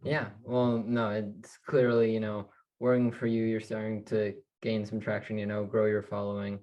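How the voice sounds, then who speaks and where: A young man hosting an interview, asking relaxed questions full of "you know" and "like". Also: fairly low pitch, normal speed, dull audio. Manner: relaxed, filler-heavy questions. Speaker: interview host. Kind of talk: casual interview chat.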